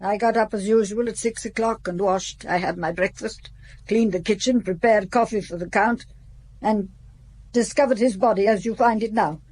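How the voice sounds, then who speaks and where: An actress playing a stiff-necked, devoted housekeeper of seventy-one in a radio drama, curt and formal in speech. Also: female voice, medium pitch, normal speed, darkish tone, slightly rough, close to an everyday voice, poor audio.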